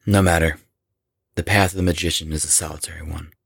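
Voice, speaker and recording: A man acting in an audio horror drama as an aloof, brooding, occult-obsessed rock musician with a gravelly voice. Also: a bass voice, steady tone, fast talker, a bit bright, no room sound, clean studio sound, full character voice. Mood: aloof, weary.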